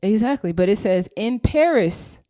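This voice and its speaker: A woman with a medium-pitched voice argues her side in an informal debate. She is persistent, cutting in and reading aloud from sources. Her voice is recorded very dry, without room echo. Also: animated, moderate pace, warm tone. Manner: interjecting, persistent. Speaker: debate opponent.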